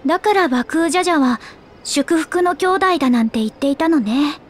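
A woman voicing a small, clever young girl scholar in a Japanese video game, calm and precise in her speech. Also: sits high, a little fast, slight background noise. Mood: curious, thoughtful.

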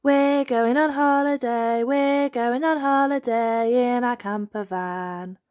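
A woman voicing an excitable, curious young girl piglet from a storybook, in an eager, sing-song way. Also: fairly high pitch, takes it slowly, no room sound, studio quality, faint music behind, pitched above the performer's own voice. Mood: singing, happy.

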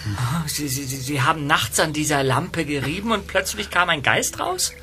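A man acting as an easygoing, excitable young detective in a German audio drama. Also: a little high, moderate pace, slight background noise. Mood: nervous, baffled.

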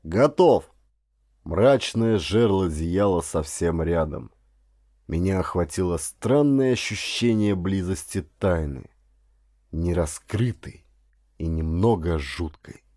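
Low, mellow male audiobook narrator. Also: slow, dry recording, studio quality. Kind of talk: dramatic scripted audiobook narration, then direct address to listeners.

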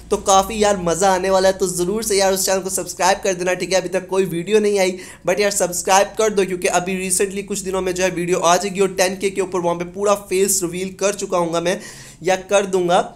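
High-pitched young man, chummy gaming YouTuber. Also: fairly bright tone. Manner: informal, chummy, repeats tag phrases. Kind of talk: casual video commentary addressed to subscribers.

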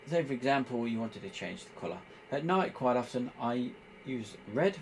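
A man giving an informal hands-on tutorial, explaining step by step in a halting, hesitant way. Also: medium pitch, lively intonation, slight background noise. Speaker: presenter demonstrating radio equipment.

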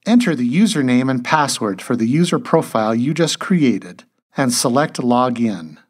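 A man delivers scripted how-to narration in a mid-pitched, husky voice. His intonation moves up and down in a lively way as he takes the listener through instructions in measured steps. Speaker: tutorial narrator.

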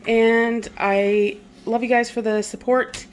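A woman vlogger casually narrating a grocery haul in her home kitchen, relaxed and chatty with frequent "um"s. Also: on the high side, slow, slight background noise.

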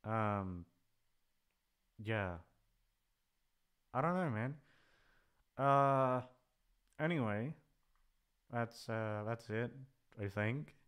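A man's voice: medium pitch, lively intonation, extremely slow, long pauses, fairly bright tone, smooth. A man chatting casually in a rambling, hesitant way, swearing freely as he goes. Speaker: ASMR voice actor, channel creator. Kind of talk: rambling casual farewell chat.

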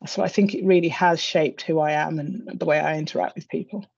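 A middle-aged woman with a British accent speaking reflectively and in a measured way as an interview guest on a video call. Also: low-pitched, fairly even intonation, gravelly, a little mellow, breathy edge. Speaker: interview guest, senior executive. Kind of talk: reflective personal conversation. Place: indoor room on a video call. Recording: slightly dull video call audio.

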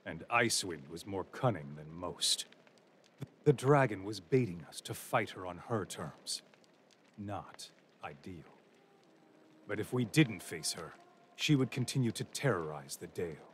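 A man giving grave, measured scripted narration for a fantasy game. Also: low-pitched, a little hiss or hum.

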